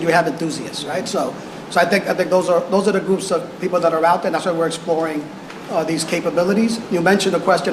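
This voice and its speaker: Male official, press briefing. Measured, halting, repetitive phrasing; roomy echo, noisy recording. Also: sits high. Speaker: police official giving press conference. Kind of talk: press conference answers. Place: indoor press conference room. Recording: echoey noisy press conference room.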